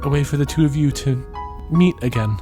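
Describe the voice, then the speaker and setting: A man playing an earnest, tentative young graduate in an audio drama, soft-spoken and halting. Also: on the high side, music in the background, close to an everyday voice. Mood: hopeful.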